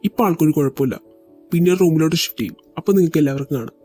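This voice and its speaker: A woman voicing a calm male doctor who gently reassures a worried family in an audio drama. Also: sits low, soft background music, close to an everyday voice. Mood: reassuring. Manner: soft, measured reassurance. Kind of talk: amateur audio drama voice acting.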